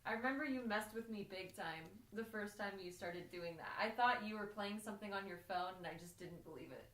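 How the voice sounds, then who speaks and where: A woman's voice: mid-range voice, fairly quick, a bit bright, echoey room, poor audio. A woman co-hosting a casual home chat, teasing and amused. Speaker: co-host, host's wife.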